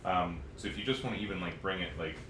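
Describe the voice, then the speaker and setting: Male podcast co-host, low register, casual talk, audible noise and room echo. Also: fast. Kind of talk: casual chat while setting up a stream. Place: echoey room, podcast recording on stream. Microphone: podcast mic on adjustable arm. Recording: roomy, clearly noisy room recording.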